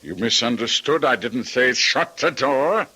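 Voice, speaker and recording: An actor playing a menacing, impatient and desperate villain in old-time radio drama, with an imperious, urgent and demanding manner. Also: male voice, medium pitch, lively intonation, a little slow, pushed and bright, slightly rough, heavily acted. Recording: faintly noisy vintage radio recording.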